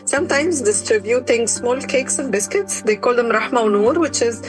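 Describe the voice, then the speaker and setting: A woman from Egypt, a guest answering interview questions in a measured way, thinking aloud with small restarts. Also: fairly high pitch, expressive, over music, poor audio.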